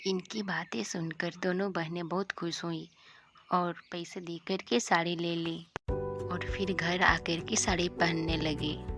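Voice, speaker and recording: A woman's voice: fairly low pitch, steady tone, a little slow, music in the background. A woman narrator reading a scripted moral bedtime story for an animation.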